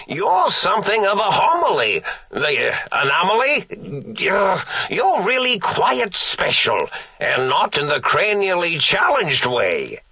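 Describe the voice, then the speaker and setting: An eccentric old mad scientist, a brain in a robot tank from a video game. The voice is raspy and fairly high for a man, and it takes its time. He dithers pompously, then swells into theatrical outbursts. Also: sharp tone, no echo, heavily acted. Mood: musing, amused.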